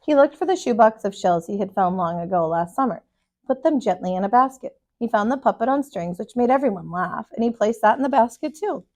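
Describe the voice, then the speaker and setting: Female children's storybook narrator, higher pitch, deliberate and emphatic delivery, expressive read-aloud. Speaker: storybook reader, kindergarten teacher.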